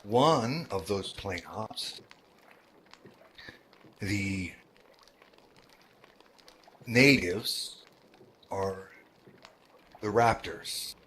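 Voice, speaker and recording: Slow, deep-voiced man with many pauses. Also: a bit bright, a little hiss or hum. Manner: halting, with many fillers and restarts. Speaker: roleplay game world organizer. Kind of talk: casual talk to a roleplay group.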